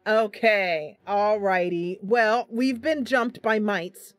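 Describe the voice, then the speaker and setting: Woman, game streamer, casual commentary, slow pace, mid pitch, music in background. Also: fairly even intonation. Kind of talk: casual live gameplay commentary with roleplay narration.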